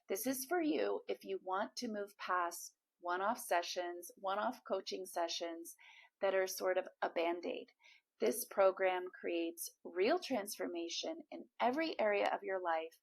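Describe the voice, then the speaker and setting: A woman with a slightly hoarse, froggy voice, giving an encouraging direct-to-camera coaching talk as a manifestation coach, speaking straight to the viewer. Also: medium pitch.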